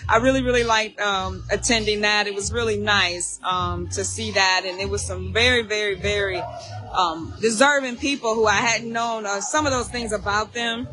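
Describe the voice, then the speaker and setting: A hoarse woman, hosting a casual live chat for alumni, talking fast and chatty and repeating herself. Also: medium pitch, fairly even intonation, bright, forceful tone, faint music behind. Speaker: alumni association host.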